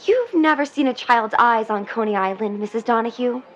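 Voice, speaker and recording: A woman's voice: fairly high pitch, moderate pace, dull audio, close to an everyday voice. A woman playing an earnest, dreamy young mermaid in love, in a 1950s period audio drama, with wistful, lyrical delivery. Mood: wistful, enchanted.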